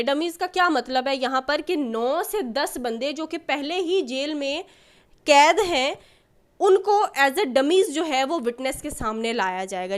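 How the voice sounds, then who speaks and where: A woman giving an explanatory lecture as a teacher, mixing in English terms as she goes. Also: sits high. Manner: mixes Urdu with English terms. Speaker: law lecturer.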